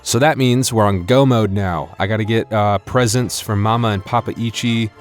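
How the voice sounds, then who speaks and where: A man's voice: fairly low pitch, steady tone, normal speed. A man hosting his audio channel, chatting casually to listeners with wry, self-deprecating humor. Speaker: ASMR audio creator and channel host. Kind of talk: casual rambling outro chat to listeners. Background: music in the background.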